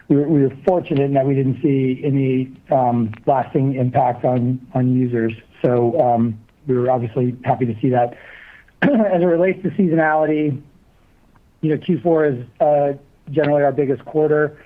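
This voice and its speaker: A man with a medium-pitched voice answers as a finance executive on an earnings call. His delivery is conversational and halting, and the audio is narrow and phone-like.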